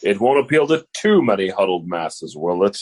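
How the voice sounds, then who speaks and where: Man playing hesitant, animated doubting statesman. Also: low-pitched, normal speed, breathy edge, voice pushed down, full character voice. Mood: doubtful. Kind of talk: comic sketch dialogue.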